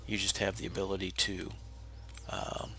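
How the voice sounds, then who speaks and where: A hoarse, raspy man who is feeling unwell, casually talking viewers through a game build step by step with plenty of pauses and restarts. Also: deep voice, background noise. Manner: relaxed, explanatory, with frequent uh fillers and restarts. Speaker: game tutorial narrator. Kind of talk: casual step-by-step gameplay tutorial.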